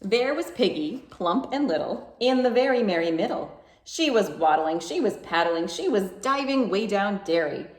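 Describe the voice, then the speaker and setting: Female storytime host: playful read-aloud for little kids, highly animated, rhythmic, roomy echo. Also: normal speed. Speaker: children's library storytime host. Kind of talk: expressive picture-book read-aloud for young children.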